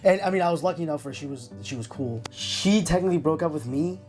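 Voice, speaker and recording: A man's voice: fairly high pitch, lively intonation, fast talker, a little mellow, faint music behind. A young man speaking candidly and reflectively in an interview, often hesitating as he searches for his words. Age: young adult. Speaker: interview subject.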